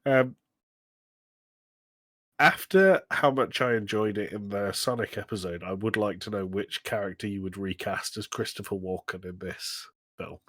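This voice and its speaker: A man's voice: lowish voice, moderate pace, fairly bright tone, dry recording. A man hosting a casual, comedic film chat in a joking, sarcastic way, given to exaggeration. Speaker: podcast host.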